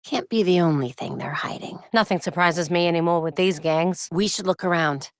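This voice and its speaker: A woman with an American accent playing a young, brainy, determined vigilante superhero in a video game, crisp, earnest and dryly quippy. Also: on the low side, moderate pace, no room sound, studio quality, lightly acted. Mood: calm, investigative. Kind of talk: video game voice acting, short dialogue lines.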